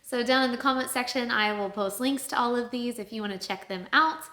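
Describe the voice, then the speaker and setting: Bright-voiced woman in an echoey room. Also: fairly high pitch, brisk. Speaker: vlog co-host. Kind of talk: casual chat.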